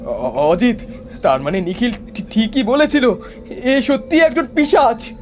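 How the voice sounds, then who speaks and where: A man playing an excitable, chatty young traveller in a horror cartoon. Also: very high-pitched, a little slow, soft background music. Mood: fearful.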